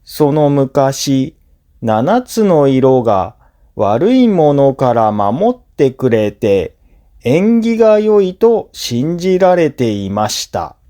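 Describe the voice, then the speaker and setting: Very slow, deliberate male narrator. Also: mid-range voice, big pitch swings, dark tone. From Japan. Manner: slow, deliberate phrasing in short segments for learners. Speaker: language teacher and narrator. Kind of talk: scripted listening-practice narration.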